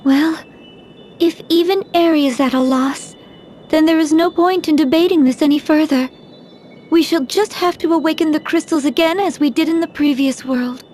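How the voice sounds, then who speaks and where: A woman plays a prim, devout young maiden from a fantasy game. Her voice is very high, her speech formal and certain. Faint noise sits behind it. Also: normal speed, a little mellow, natural voice. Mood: firm. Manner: formal, precise wording. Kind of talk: video game voice acting.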